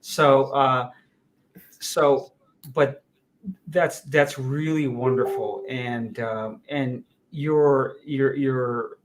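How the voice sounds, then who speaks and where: This is a male host talking with a guest in a relaxed interview. He is warmly affirming and often trips over his words, repeating a phrase before he carries on. His voice sits at an ordinary middle pitch. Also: very slow, a little hiss or hum. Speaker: talk show host. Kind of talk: casual interview.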